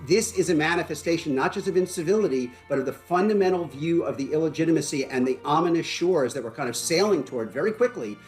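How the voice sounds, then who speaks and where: Fast-talking man giving earnest political analysis. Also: a little high, expressive, darkish tone, soft background music. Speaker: news panel guest.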